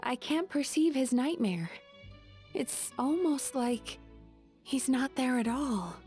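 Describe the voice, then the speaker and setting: A woman playing a gentle, earnest young healer in a video game. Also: sits high, lively intonation, slow pace, faint music behind. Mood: puzzled, concerned. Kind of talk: scripted video game voice acting.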